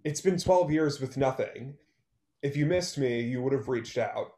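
A man's voice: medium pitch, unhurried, dark tone, lightly acted. A young man playing a bitter, heartbroken songwriter, curt and guarded, in a play read over video call. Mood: weary, guarded. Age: young adult. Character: Liam, bitter, heartbroken male songwriter, guarded toward former love. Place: plain-walled room at home, indoors.

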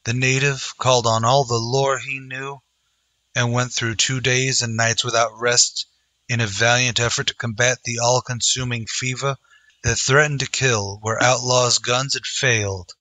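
Bright-voiced man narrating a radio western. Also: mid-range voice, flat delivery, a little slow, no echo, low-quality recording. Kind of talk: dramatic old-time radio narration.

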